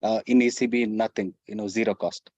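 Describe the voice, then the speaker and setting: A man's voice: on the low side, fairly even intonation, a little fast, darkish tone, no room sound. A man with an Indian accent explaining rules step by step as an expert panelist, on lo-fi call audio.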